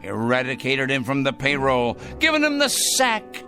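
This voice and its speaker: A man's voice: medium pitch, wildly swooping pitch, normal speed, pushed and bright, full character voice. A man acting in a radio drama as a self-righteous, ranting crank obsessed with punishing evil. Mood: righteous, dismissive. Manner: pompous, ranting, theatrical. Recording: radio drama with music underneath.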